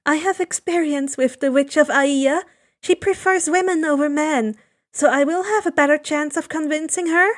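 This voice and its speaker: A woman's voice: high-pitched, normal speed, pitched above the performer's own voice, natural voice. A woman voicing a confident, teasing young demigod girl with a light, assured manner in a fan fiction reading.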